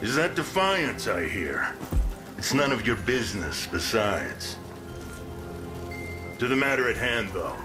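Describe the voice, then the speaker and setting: A gruff fighting lord of a fantasy game town, low in pitch and unhurried. His archaic, folksy lines swing widely in tone with a sardonic, resigned edge, over background music. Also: sing-song. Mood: wry, commanding. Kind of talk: video game fantasy acting.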